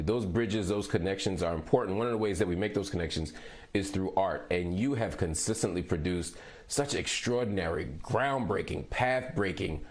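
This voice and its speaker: A middle-aged man hosting an interview, conversational with playful asides. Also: deep voice. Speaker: interview host.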